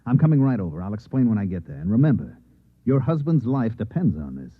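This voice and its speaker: A wry American newspaper reporter in a Golden Age radio play. He talks very quickly with lively swings of pitch, earnest and soft-hearted under the quips. The old recording is muffled and narrow, with some hiss. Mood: urgent. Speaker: actor and narrator in a radio drama.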